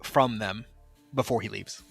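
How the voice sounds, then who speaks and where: A man's voice: lowish voice, unhurried, creaky, fairly bright tone, husky, faint music behind. A man narrating as game master in a tabletop role-playing session, explaining scenes with frequent restarts.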